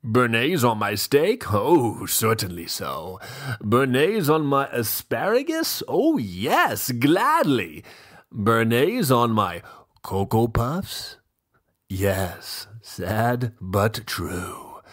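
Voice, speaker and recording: A man playing a pompous, fussy, self-indulgent gentleman host who gives mock-literary dramatic readings with drawn-out, theatrical pauses. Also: mid-range voice, big pitch swings. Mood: indulgent, mock-tragic.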